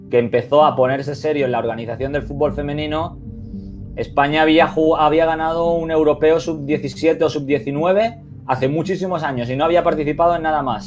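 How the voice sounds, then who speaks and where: A man's voice: fairly high pitch, darkish tone. A man with a Spain Spanish accent, a football coach answering interview questions over a video call, reflective and emphatic, with many restarts and "eh" fillers.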